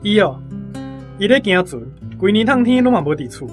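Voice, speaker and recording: A man's voice: high voice, big pitch swings, moderate pace, faint music behind. A man with a Taiwanese accent playing a guileless, talkative young army conscript in a radio drama. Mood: matter-of-fact.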